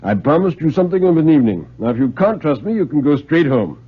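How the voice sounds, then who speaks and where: A courteous, secretive retired police detective, as a male radio reader plays him, speaks in clipped, commanding phrases. The voice is husky and animated, heard through muffled vintage radio audio. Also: on the high side, fast talker, voice pushed up. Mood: firm, confiding.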